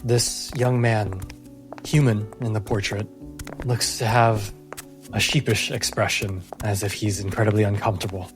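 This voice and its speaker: A man narrating a fantasy tabletop game as game master, in measured phrases with dramatic pauses. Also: on the low side, little pitch movement, over music.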